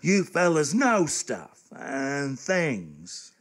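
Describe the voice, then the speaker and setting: A man's voice: fairly high pitch, huge pitch swings, very slow, heavily acted. An actor playing a naive, earnest teenage handyman with a hesitant, guileless manner in comic radio theatre. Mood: puzzled, sheepish. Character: dim, earnest, naive eighteen-year-old small-town handyman lad.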